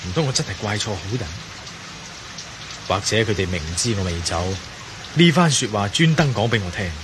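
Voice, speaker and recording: An actor with a Hong Kong Cantonese accent playing a bold, suspicious, quick-tempered adventurer in a radio drama, thinking aloud theatrically. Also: male voice, fairly low pitch, lively intonation, noisy background, close to an everyday voice. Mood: frustrated, brooding. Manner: dramatic, muttering to himself.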